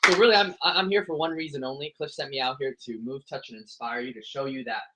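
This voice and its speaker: A man's voice: medium pitch, fairly even intonation, fast, heavy background noise. A man, giving a motivational talk full of self-deprecating jokes. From United States. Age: 20s. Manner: joking, self-deprecating storytelling. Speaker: motivational speaker. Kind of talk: motivational speech to an audience. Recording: echoey room sound.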